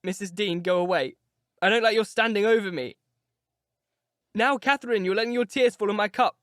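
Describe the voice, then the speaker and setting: Male reader playing a petulant sickly young man; high, whining, demanding. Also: brisk, a bit bright, no room sound, clean studio sound.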